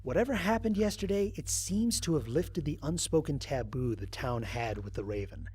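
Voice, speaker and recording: Man playing a wry, husky, animated astronaut. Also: on the high side, normal speed. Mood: exasperated, relieved. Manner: wry, conversational, expressive. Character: stranded human astronaut, wry and grumbling, recording mission logs. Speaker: narrator of an audio drama. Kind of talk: dramatized first-person log narration.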